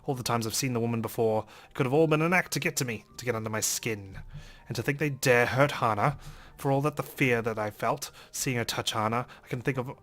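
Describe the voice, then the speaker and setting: A man's voice: mid-range voice, fairly quick, fairly bright tone, faint music behind. A man reading a visual novel aloud as a let's play host, in dramatic, theatrical first-person narration.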